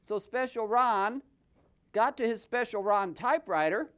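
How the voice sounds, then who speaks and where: A man's voice: high voice, measured pace, fairly bright tone. A man hosting a comic puppet show, telling the story in a mocking, theatrical and improvised way. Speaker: host and puppeteer.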